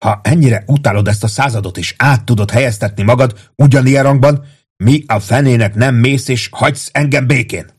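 A man reading an audiobook plays an old army crony, sly and gossipy. The voice is husky, savoring each remark, with a short fuse underneath. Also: lowish voice. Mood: exasperated yelling. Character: old soldier, sly gossiping barracks crony, easily exasperated. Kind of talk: dramatized audiobook dialogue.

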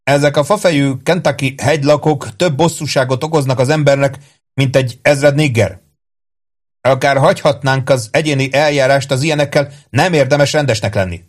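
A man's voice: lowish voice, darkish tone, close to an everyday voice. A man voicing a scheming army first sergeant in an audiobook, speaking emphatically and with calculated earnestness. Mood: exasperated.

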